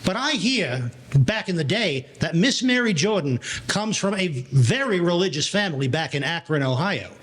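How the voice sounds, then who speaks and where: A man's voice: on the high side, lively intonation, moderate pace, few pauses, fairly bright tone. An actor playing a sympathetic, moralizing and gossipy Broadway wise guy in an old-time gangster audio play. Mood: sympathetic, knowing.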